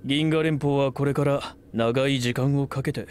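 An actor playing a measured, authoritative senior federation officer in a game cutscene. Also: male voice, medium pitch, steady tone, a little mellow, clear, resonant tone, lightly acted.

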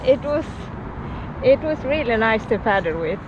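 A Swedish woman in her thirties answers in a few short, shy words, standing by a lake. Her voice is high, and the camera audio sounds dull, with clear outdoor noise behind her. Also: animated, moderate pace. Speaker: paddler interviewee. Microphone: handheld action camera.

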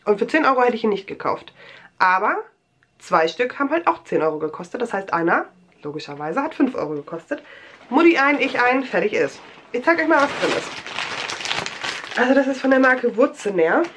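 A woman's voice: fairly high pitch, very animated, moderate pace, a bit bright, a little hiss or hum. A young woman with a cold, rambling chattily through a show-and-tell vlog in a small bedroom, full of fillers and restarts. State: has a cold. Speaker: vlogger.

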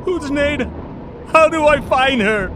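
A frightened young companion, played by a woman, pleads in a high, anxious voice. The audio drama recording has clear noise. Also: unhurried. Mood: frightened, pleading.

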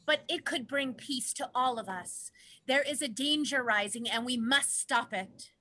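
An earnest animal council member seeks peace in a stage reading, voiced by a woman. The voice is high and bright, with slow, measured phrasing. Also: heavily acted. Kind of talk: dramatic play reading.

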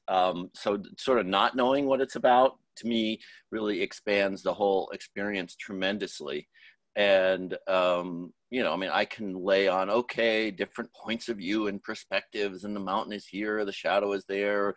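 A man in a casual, reflective discussion on a video call, halting and thoughtful as he searches for words. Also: fairly low pitch, no room sound. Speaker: writing teacher and author, audience member giving feedback. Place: indoors, online video call. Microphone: video call microphone.

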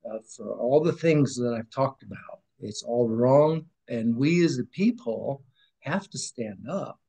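A man's voice: mid-range voice, darkish tone. A man in his fifties, a podcast guest, giving an earnest, rambling personal account over a video call, with restarts and sudden drops in volume. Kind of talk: emotional personal testimony. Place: room at home with framed picture on wall. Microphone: video call webcam.

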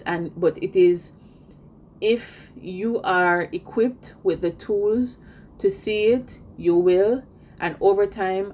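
A young Jamaican woman speaks straight to her camera in an earnest, measured way, like she is leveling with her viewers. Her voice sits a little low, and a faint hiss runs under the recording. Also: darkish tone. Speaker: vlogger. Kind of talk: casual talk to camera. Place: indoors in front of a pink backdrop.

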